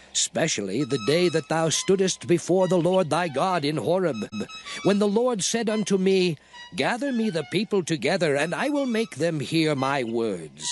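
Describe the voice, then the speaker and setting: A solemn male prophet addresses his people in a dramatized scripture reading. The voice sits fairly high and swings widely in melody as he declaims in archaic, oratorical phrases. Music plays clearly behind him. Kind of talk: dramatized King James Bible reading.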